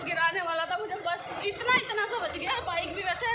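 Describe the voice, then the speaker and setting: A woman's voice: very high voice, moderate pace, over a noisy crowd, heavy background noise. A young woman from northern India chatting casually to viewers as a family vlogger, filming on a phone held at arm's length. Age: young adult. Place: family homes and village lanes at a gathering.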